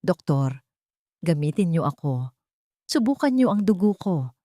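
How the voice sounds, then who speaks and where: Desperate, forceful rich mother voiced by a woman. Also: on the low side, very animated, a little slow, no echo, studio quality. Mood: desperate, insistent. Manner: pleading, commanding, emotional.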